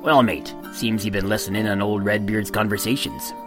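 Man doing a kindly rough-spoken pirate. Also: on the low side, fairly even intonation, normal speed, lightly acted. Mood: gruffly kind. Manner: folksy pirate dialect. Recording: narration with music underneath.

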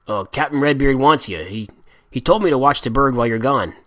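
A man's voice: mid-range voice, fairly quick, fairly bright tone, no room sound, clean studio sound, natural voice. Man reading a jittery young sailor, hesitant and placating. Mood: nervous. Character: nervous young male would-be pirate.

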